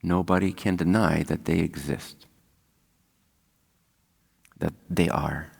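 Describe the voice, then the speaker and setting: Deep, gravelly man guiding a meditation. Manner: calm, unhurried, with long pauses. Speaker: spiritual teacher leading a guided meditation. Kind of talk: guided meditation talk. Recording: long hall-like reverb.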